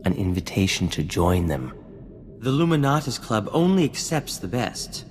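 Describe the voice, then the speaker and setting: An actor playing a vain, charming scientist in a video game, talking in grandiose, courtly phrases. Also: male voice, fairly low pitch, very animated, normal speed, darkish tone, slightly rough, in a large hall. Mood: proud, grand. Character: flamboyant, vain, charming male scientist and self-styled genius. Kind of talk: scripted video game acting. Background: music.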